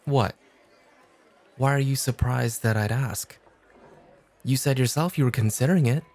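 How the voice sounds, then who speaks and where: Man acting a cool, exacting club host, gravelly. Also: medium pitch, a little mellow, lightly acted. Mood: challenging. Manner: challenging, measured, coolly teasing. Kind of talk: ASMR roleplay acting.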